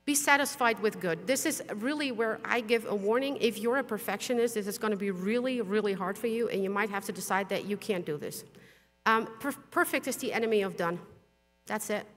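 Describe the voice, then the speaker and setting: A middle-aged woman, Dutch-native, speaks bluntly from a conference stage. Her mid-pitched voice carries a long reverb from the large hall around her. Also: brisk, fairly bright tone. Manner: frank, blunt, with mild swearing. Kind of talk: conference talk with slides.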